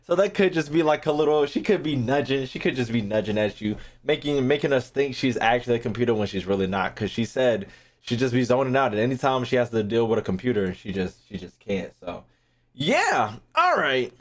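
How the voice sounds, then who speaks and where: A man's voice: mid-range voice, very animated, brisk. A young man giving casual livestream game commentary, slangy and profane, with playful rants. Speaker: game streamer.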